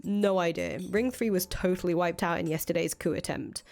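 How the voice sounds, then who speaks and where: A woman's voice: fairly low pitch, fairly quick, a little mellow, close to an everyday voice. A woman playing a cynical, foul-mouthed ousted rebel leader in a visual novel, thinking aloud in casual, profane speech. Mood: wry, brooding. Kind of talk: acted reading of visual novel dialogue. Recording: music under the voice.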